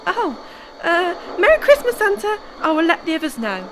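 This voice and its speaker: Woman acting anxious high-pitched young elf girl. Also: big pitch swings, normal speed, fairly bright tone, a little hiss or hum, heavily acted, poor audio. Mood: eager. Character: anxious young female elf helper, eager tattletale. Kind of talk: radio play acting.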